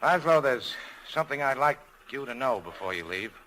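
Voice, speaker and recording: A man's voice: medium pitch, lively intonation, moderate pace, sharp tone, low-quality recording. A male actor playing a cynical but self-sacrificing American nightclub owner in a 1940s radio drama, with a clipped, tough, terse delivery. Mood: resolute, restrained.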